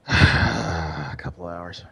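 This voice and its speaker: A man co-hosting a casual gaming podcast, speaking informally in halting phrases with dry understatement. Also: low-pitched, creaky, pushed and bright, husky. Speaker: podcast co-host.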